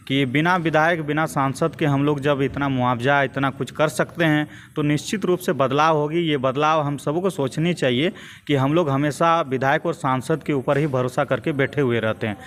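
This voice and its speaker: A young man with a Jharkhand Hindi accent speaks in a fairly high voice, with some background noise. He gives measured, hesitant interview answers as a grassroots political activist. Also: a bit bright. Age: young adult. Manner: hesitant, measured, repetitive phrasing.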